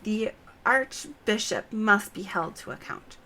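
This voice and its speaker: A woman's voice: mid-range voice, expressive, takes it slowly, sharp tone. A woman voicing an earnest, determined young nobleman in a fantasy game, formal in speech. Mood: determined, solemn. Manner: formal, declarative. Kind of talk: read-aloud fantasy game dialogue.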